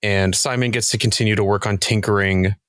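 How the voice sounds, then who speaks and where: A man talking casually as a podcast guest, thinking aloud in a halting, reflective way. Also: lowish voice, fairly even intonation, a bit bright, dry recording, studio quality. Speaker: podcast guest, cast member of a role-playing show.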